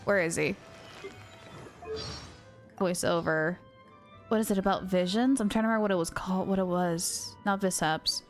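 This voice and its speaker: A woman livestreaming a game, chatting casually with viewers in an excitable, gushing way. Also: fairly low pitch, normal speed, pauses often. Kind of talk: casual live stream commentary and chat. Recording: game music behind voice.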